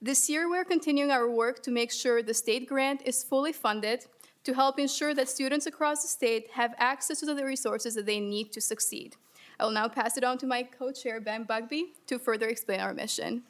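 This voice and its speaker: Brisk young woman from Albania. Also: a little high. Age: young adult. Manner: brisk, formal, reading from notes. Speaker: student leader testifying. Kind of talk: prepared statement at a hearing. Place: legislative hearing room. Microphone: desk microphone.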